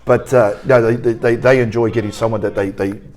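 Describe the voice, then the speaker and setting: A man's voice: medium pitch, fairly even intonation, brisk, darkish tone. A man with a New Zealand accent, talking earnestly in an interview as a rugby academy coach.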